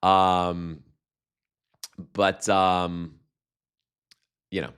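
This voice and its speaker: A man hosting a live stream with a slight cold and a voice going hoarse, chatting in a rambling, halting way full of fillers and restarts while reading viewer comments. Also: sits low, level tone, fairly bright tone. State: slight cold, losing his voice. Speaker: livestream host.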